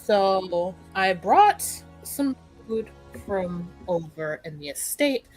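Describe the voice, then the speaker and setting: Casting: a woman acting a concerned servant woman for tabletop fantasy, gentle, practical, slow-paced, faint music behind. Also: mid-range voice, expressive, low-quality recording. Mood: practical, caring. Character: caring, concerned woman servant tending a hungover friend.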